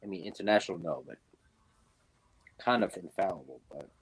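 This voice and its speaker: A man's voice: deep voice, animated, a little fast, husky, poor audio. A young man chatting casually as a guest, rambling with fillers and restarts. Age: young adult. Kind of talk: casual chat. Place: New York.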